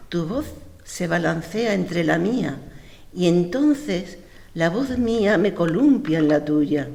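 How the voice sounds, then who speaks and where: A woman with an Andalusian Spanish accent reciting poems in a measured, deliberate way. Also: on the low side, vocal fry, mellow. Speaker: poetry reciter. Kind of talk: poetry recitation. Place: lecture hall. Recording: echoey hall.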